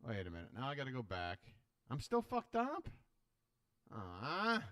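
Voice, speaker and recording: A man hosting a live stream, rambling and self-deprecating in casual reaction commentary, with a booming voice and a slight wet lisp, swearing casually, on a desk microphone. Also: wildly swooping pitch, measured pace, a bit bright, no room sound, studio quality.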